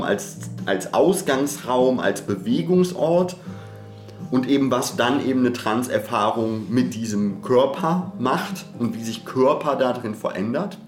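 A man in a reflective podcast discussion, speaking in a searching, self-correcting academic way. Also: fairly low pitch. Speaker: podcast co-host. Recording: roomy echo, background guitar music.

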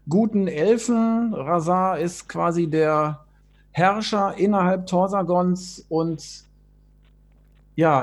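A man performer in an informal interview, explaining in a measured, deliberate way. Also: high-pitched. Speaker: musical performer.

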